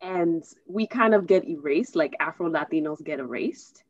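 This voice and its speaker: A woman with an American accent and a fairly low voice hosts a casual interview. She feels her way through sentences, pausing and restarting as she searches for words. The recording is a little dull and lo-fi. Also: lively intonation, no echo. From United States. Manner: halting, searching for words, repeats herself. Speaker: host interviewing a guest.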